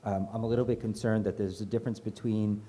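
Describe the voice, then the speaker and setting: A man on a judging panel gives measured, polite feedback in a low voice with a very dark tone. Long room reverb and faint ambience come through on the recording. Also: flat delivery, a little hiss or hum. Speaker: judge giving feedback.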